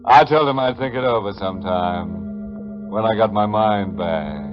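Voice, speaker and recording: A man's voice: lowish voice, unhurried, close to an everyday voice. A man with an American accent playing a ghoulish, sardonic host of a 1940s radio horror mystery, narrating dramatically. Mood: gleefully macabre. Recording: muffled 1940s radio broadcast with music.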